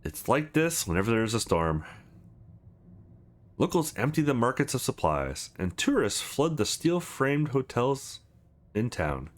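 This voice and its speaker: A man's voice: mid-range voice, very animated, measured pace. A man reading a visual novel aloud as a let's play host, with an amused, reactive manner. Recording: music behind the voice. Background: game music.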